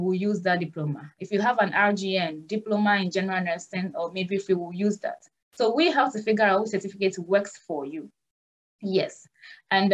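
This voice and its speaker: Young nurse hosting a live Q&A; reassuring, plain-spoken, video-call sound. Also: female voice, mid-range voice. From Ghana. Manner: earnest, direct and reassuring. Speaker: nurse and migration consultant host. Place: small room at home.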